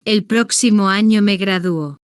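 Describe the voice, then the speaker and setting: A woman reading a simple first-person story for language beginners, in slow, deliberate, evenly paced short sentences. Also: medium pitch, clean tone, no echo, studio quality. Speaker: language-learning narrator.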